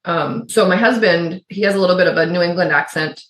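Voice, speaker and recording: A woman's voice: fairly low pitch, fairly quick, a bit bright. Chatty middle-aged woman with a faint Yooper accent. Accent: Yooper, suppressed. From Upper Peninsula of Michigan. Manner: chatty, rambling with restarts and asides. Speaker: podcast co-host. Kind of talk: casual chat. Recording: roomy echo.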